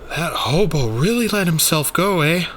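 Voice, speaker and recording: A man's voice: a little high, lively intonation, moderate pace, a bit bright, a little hiss or hum, voice pushed up. A man voicing a tough, sarcastic, hard-drinking woman sidekick in an audio story, impatient and exasperated. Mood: wry. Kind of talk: acted dialogue in an audio story.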